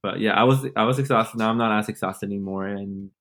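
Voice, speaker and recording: A man in his twenties with a fairly low voice talks as a guest in a casual interview. His way of speaking is informal and playful, with plenty of slang and conversational filler words. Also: fast, a bit bright. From born in Mexico, grew up in Los Angeles and Nashville. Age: 20s. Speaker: podcast guest, teacher.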